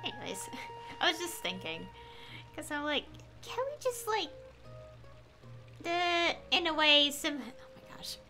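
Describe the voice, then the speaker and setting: A woman streaming a game, chatting casually in a giggly, rambling, excitable way. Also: high-pitched, slow, long pauses, music in the background. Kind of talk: casual live stream commentary.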